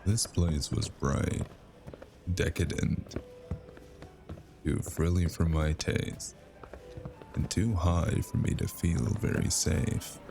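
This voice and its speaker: A man playing a world-weary, haunted noir smoker in an audio drama, brooding and sardonic, speaking in a measured way. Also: low voice, gravelly, noisy background, exaggerated voice. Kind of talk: noir audio drama with first-person narration. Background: loud cinematic music.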